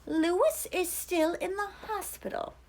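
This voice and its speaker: A woman's voice: very high voice, measured pace, darkish tone, voice pushed up. A woman voicing an upbeat, preachy male camp counselor in a storybook reading, encouraging and patronizing. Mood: brisk, calling out.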